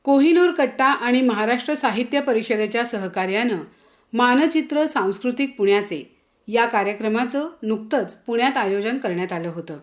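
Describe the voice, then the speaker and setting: Higher-voiced woman reading scripted news. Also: normal speed, slight background noise. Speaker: newsreel presenter.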